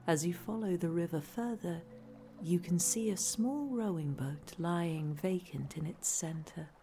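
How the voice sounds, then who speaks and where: A woman reading a scripted guided relaxation in a soothing, hushed, deadpan way. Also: on the low side. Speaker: narrator, reader. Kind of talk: scripted guided relaxation narration, parody meditation. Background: music.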